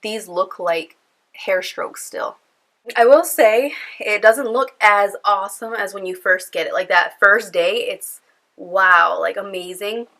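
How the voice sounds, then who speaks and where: A young woman talks to the camera at home, her voice nasal and stuffed up from a cold. Her tone is bright and forward. She rambles casually with plenty of fillers. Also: mid-range voice, slow pace. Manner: chatty, rambling, lots of fillers. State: congested from a cold. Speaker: beauty vlogger. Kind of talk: casual chatty vlog. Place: at home indoors.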